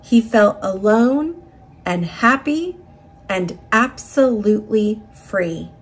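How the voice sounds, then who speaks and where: A woman reading a children's picture book aloud in a deliberate, dramatic storytelling manner. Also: mid-range voice, lively intonation, husky. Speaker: storybook reader.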